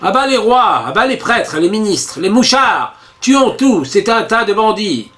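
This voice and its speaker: A man voicing a furious revolutionary mob, with shrill, bellowing cries for death. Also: lively intonation, a little slow, pushed and bright, exaggerated voice. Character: furious shouting revolutionary mob, men and women.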